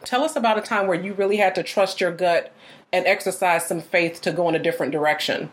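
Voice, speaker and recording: A woman's voice: lowish voice, quick pace. Upbeat woman podcast host. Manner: upbeat, polished, lilting delivery. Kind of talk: podcast interview hosting.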